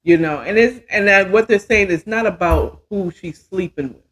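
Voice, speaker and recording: A woman's voice: mid-range voice, moderate pace, low-quality recording. A woman in her fifties telling a story casually as a podcast host, chatty and emphatic, often checking in with the listener. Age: 50s.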